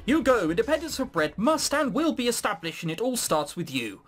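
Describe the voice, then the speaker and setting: Male let's player, very lively pitch, sarcastic and sweary, casual commentary over game music. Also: brisk. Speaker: let's play host. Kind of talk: casual gaming commentary, reading game text aloud.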